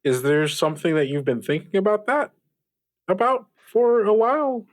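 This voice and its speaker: A man playing a polite, cautious, duty-bound character in improvised roleplay, hesitant and measured as he speaks. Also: high-pitched, no room sound, studio quality, lightly acted. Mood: gently probing. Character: polite, cautious host, resigned to duty, wary of temptation. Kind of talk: improvised actual-play roleplay dialogue.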